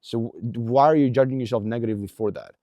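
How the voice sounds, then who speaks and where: A man's voice: medium pitch, quick pace, dark tone, no echo, clean studio sound. A young man giving a casual motivational talk straight to the viewer, blunt and earnest, swearing often and filling gaps with "like" and restarts. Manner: blunt, heavy swearing, many fillers and restarts; earnest, coaching tone aimed at viewer. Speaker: self-improvement vlogger.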